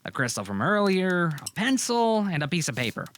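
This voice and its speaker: Male narrator with widely swinging pitch. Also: very animated, brisk, a bit bright, slight background noise. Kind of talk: scripted audio drama narration.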